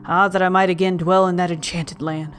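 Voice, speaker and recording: An actor playing a haunted young gentleman, narrating a gothic tale in formal, melancholy, literary speech. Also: medium pitch, little pitch movement, brisk, soft background music, close to an everyday voice. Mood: wistful, mournful. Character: young man Joel Hetman Jr, mournful, haunted, genteel narrator. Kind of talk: dramatic first-person gothic audio narration.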